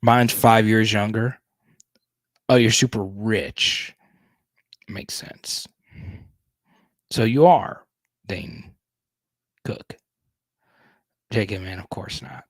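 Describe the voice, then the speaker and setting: A man's voice: fairly low pitch, extremely slow, long pauses, dry recording, studio quality. A man in his thirties hosting a sports podcast livestream, chatting casually as he reads viewer comments, laughing often and tripping over restarts. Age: 30s.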